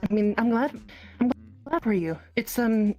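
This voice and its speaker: A woman talking in an improvised roleplay, halting and flustered as she searches for words. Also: on the high side, animated, warm tone, lo-fi sound, faint music behind. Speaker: player in an online roleplay. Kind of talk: improvised in-character conversation.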